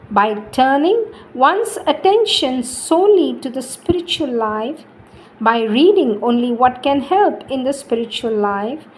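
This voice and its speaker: A woman giving a devotional reading of spiritual teachings, deliberate and emphatic, repeating phrases for weight. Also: sits high, darkish tone, low-quality recording.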